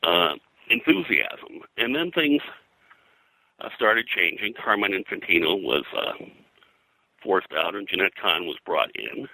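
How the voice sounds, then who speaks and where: An older American man reminiscing as an interview guest, in a halting, conversational way full of verbal filler. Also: low voice, lively intonation, vocal fry, bright, forceful tone, dry recording, rough recording. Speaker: interview guest, veteran comics colorist.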